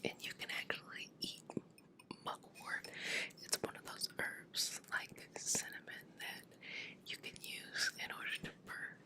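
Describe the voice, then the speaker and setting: A woman whispering a soothing ASMR energy healing session in a breathy, unvoiced voice, gently repeating short phrases. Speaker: ASMR reiki energy healer.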